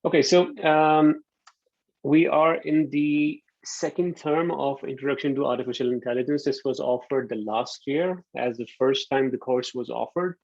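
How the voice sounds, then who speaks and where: A man from Pakistan in his thirties giving a relaxed online university lecture, often pausing with ums. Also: mid-range voice, creaky, no room sound. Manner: relaxed, hesitant with frequent ums. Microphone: computer mic on a video call. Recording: dull lo-fi video call audio.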